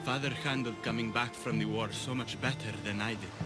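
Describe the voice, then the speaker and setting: A man playing a troubled, vulnerable young Athenian war veteran in a video game, speaking haltingly. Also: medium pitch, fairly even intonation, loud music behind the voice, natural voice. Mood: sombre, confessional. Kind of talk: video game cutscene acting.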